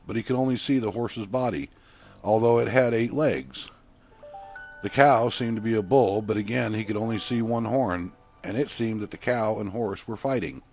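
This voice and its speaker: A man's voice: mid-range voice, a little mellow. A man narrating a scripted short story, with music playing behind him. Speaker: author and narrator.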